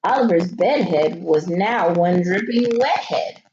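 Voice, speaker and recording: Female primary teacher, expressive read-aloud with questions to kids, unhurried delivery, dulled and noisy home-style audio. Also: fairly low pitch. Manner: expressive, thinking aloud, questioning young listeners. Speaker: elementary school teacher. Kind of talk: animated children's picture-book read-aloud with teaching asides. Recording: muffled audio with clear background noise.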